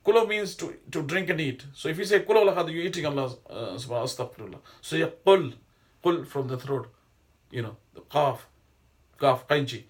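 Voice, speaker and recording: A man's voice: on the high side, expressive, fairly quick. Rambling middle-aged male religious teacher. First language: not Arabic. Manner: rambling, digressive, with frequent hesitations and restarts. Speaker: Islamic teacher. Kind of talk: informal religious lesson.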